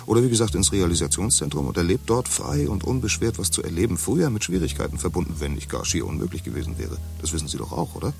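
A condescending, jargon-spouting bar talker. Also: male voice, deep voice, very animated, fast, vocal fry, few pauses, darkish tone, soft background music, exaggerated voice. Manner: talkative, condescending, full of jargon. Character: chatty man in a futuristic society, lecturing a bar companion. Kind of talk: radio drama acting.

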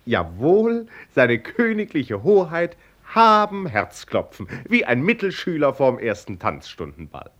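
An actor playing a wry storyteller, narrating a radio play about royalty with ironic, mock-ceremonious relish. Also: male voice, fairly high pitch, wildly swooping pitch, measured pace, few pauses, a bit bright, heavily acted. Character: wry, mocking male storyteller of a royal tale.

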